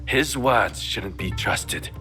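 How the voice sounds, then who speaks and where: A man playing a calm, courteous and wary informant in a samurai video game, speaking in a measured, cautionary way. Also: on the low side, soft background music, heavily acted. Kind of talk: dramatic video game cutscene acting.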